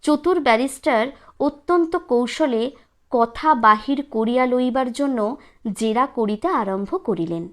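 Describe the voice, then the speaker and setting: A woman storyteller with a high-pitched voice reads scripted literary narration. Her pace is very slow and measured. She sets out each phrase deliberately, with a dramatic touch. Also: animated.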